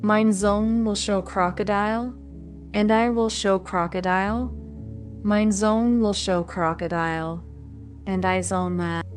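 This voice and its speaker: A woman doing a yelling foreign showman. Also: mid-range voice, fairly even intonation, slow, soft background music, natural voice. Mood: furious. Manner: yelling in broken speech. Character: angry foreign male crocodile showman.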